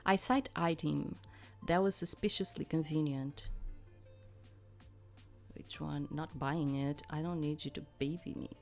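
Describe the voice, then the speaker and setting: Lower-voiced woman playing and narrating a game. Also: steady tone, a little slow, gravelly, pauses often, faint music behind. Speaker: let's play host. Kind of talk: reading game narration aloud with casual commentary.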